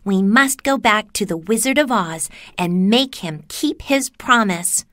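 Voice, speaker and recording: A woman reader plays a young girl heroine in a children's story. The voice is raised high, earnest and eager, with a determined streak. The recording is dry. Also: lively intonation, unhurried, fairly bright tone, no room sound.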